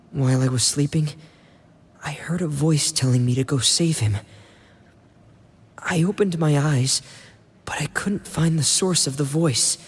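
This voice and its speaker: A performer voicing a shy, loyal teenage younger brother in a video game, soft-spoken, hesitant and sincere. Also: medium pitch, slightly rough. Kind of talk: English game voice acting. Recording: music behind the voice. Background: game background music.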